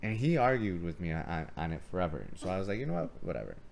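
Deep-voiced man with a strong, carrying voice. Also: racing, vocal fry, slight background noise. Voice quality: strong voice that carries. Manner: animated storyteller, acts out quoted arguments. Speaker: martial arts coach and gym owner. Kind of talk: casual podcast storytelling.

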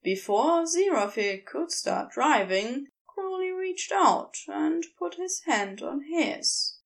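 Creaky, high-voiced woman narrating fiction. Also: expressive, slow. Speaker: audiobook narrator. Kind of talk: expressive scripted fiction narration.

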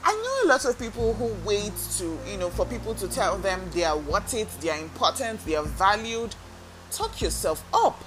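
Woman, self-help podcast host, earnest coaching delivery, clear background music bed. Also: mid-range voice, big pitch swings, normal speed, poor audio. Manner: earnest, coaching, asks listeners direct questions. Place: talking alone into a microphone.

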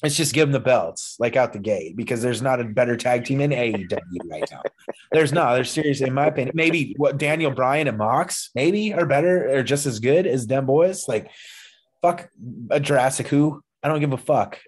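A man in his thirties talks as a podcast host in a casual, conversational style. He rants freely and swears often, with strong opinions and a medium-pitched voice. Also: normal speed, breathy edge. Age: 30s. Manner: profane, opinionated ranting. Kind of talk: casual wrestling podcast chat.

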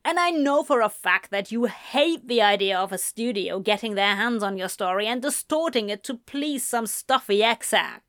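A woman voicing an indignant, protective, no-nonsense woman with a brisk, insistent manner, in a story reading. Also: fairly high pitch, expressive, fairly bright tone, no room sound, studio quality.

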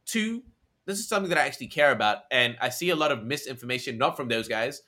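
A young man from Australia talks as a guest in a casual conversation, his voice bright and forward. He rambles with slang and side tangents, energetic and loose. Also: mid-range voice, fast. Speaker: podcast guest.

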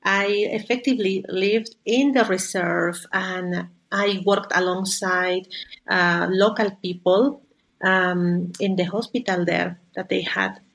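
A woman's voice: mid-range voice, steady tone, takes it slowly, creaky, fairly bright tone. A woman from Peru with a Peruvian Spanish accent, answering interview questions and telling personal stories as a podcast guest. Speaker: podcast guest, spiritual guide. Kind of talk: conversational interview storytelling.

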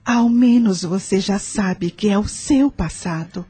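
An actress with a Brazilian accent playing a patient, wise spirit mentor in a radio drama, measured, reassuring and instructive. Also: female voice, mid-range voice, mellow, husky, faint music behind.

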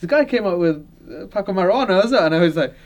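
A high-voiced man hosts a loose, casual conversation. He talks in animated bursts and laughs often while telling stories. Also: rapid-fire. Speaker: podcast host. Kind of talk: casual chat.

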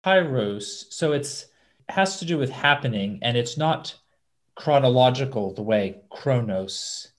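A man interviewing a guest, asking questions in a halting way with frequent restarts. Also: medium pitch, expressive.

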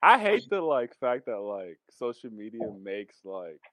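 A man's voice: mid-range voice, big pitch swings, a little slow, a bit bright, dry recording. A man co-hosting a podcast in casual chat, blunt and slangy, with an exasperated way of griping. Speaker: podcast co-host.

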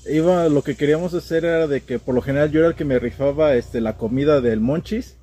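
A man's voice: on the high side, quick pace, mellow, breathy edge. A young man with a central Mexican accent, telling stories in a casual, slangy way as a podcast guest. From State of Mexico, Mexico. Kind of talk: casual conversational storytelling.

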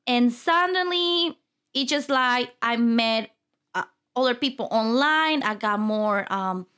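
A woman in her thirties with a Mexican Spanish accent talks easily as a guest, chatty and quick to giggle. Her voice sits a little high. Her English bends into loose phrasing now and then. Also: animated, sharp tone, clean tone. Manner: chatty, giggly, loose non-native grammar. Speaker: podcast guest, lifestyle blogger. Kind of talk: casual interview chat.

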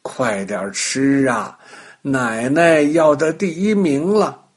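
A man voicing a fussing young mother in a children's story, gently chiding and hurrying a child. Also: mid-range voice, slow, slightly rough. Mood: urging.

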